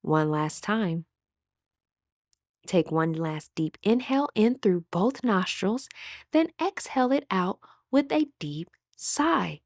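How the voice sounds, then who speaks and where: A woman leading a scripted guided meditation as an instructor, with a measured, soothing, instructional delivery. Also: medium pitch, animated, no room sound, studio quality.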